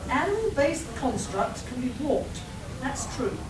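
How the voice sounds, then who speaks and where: A woman playing a brisk, matter-of-fact young adventurer and inventor in a live comedic radio play. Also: sits high, sing-song, crowd noise. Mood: reasoning. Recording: echoey hall, far mic, noisy.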